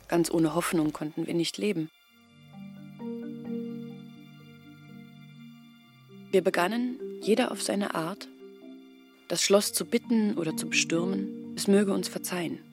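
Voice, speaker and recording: A woman's voice: on the low side, moderate pace, over music, close to an everyday voice. An actress playing a poor village woman confessing her family's hopeless decline in a literary radio drama monologue. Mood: confessing, resigned. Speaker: narrating character in an audio drama. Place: dry studio.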